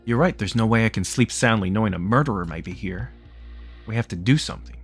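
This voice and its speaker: A man voicing a forceful, suspicious man who argues insistently, in a visual novel read-aloud. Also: lowish voice, fast talker, slightly rough, faint music behind. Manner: insistent, argumentative. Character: forceful, suspicious man pushing to confront a killer.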